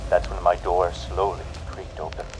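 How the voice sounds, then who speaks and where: A man's voice: deep voice, flat delivery, over music, close to an everyday voice, low-quality recording. A man playing a menacing radio horror narrator. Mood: dread. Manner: slow, menacing and mocking. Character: sinister male radio-play narrator, cruel and taunting.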